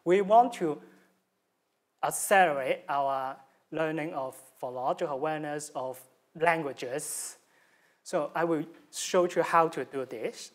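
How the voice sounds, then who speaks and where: Young man, Hong Kong accent, Cantonese native, animated student talk, fillers, lectern mic, lecture room. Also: on the high side, measured pace. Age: young adult. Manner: animated, gestures with hands, many fillers. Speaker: student presenter. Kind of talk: academic presentation. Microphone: stand mic at lectern.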